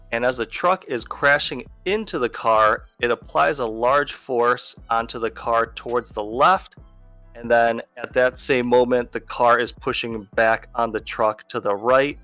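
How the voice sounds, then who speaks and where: A man's voice: mid-range voice, normal speed, bright, forceful tone, no echo, faint music behind. A man explains as a teacher in a video lesson, conversational and going step by step. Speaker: physics teacher.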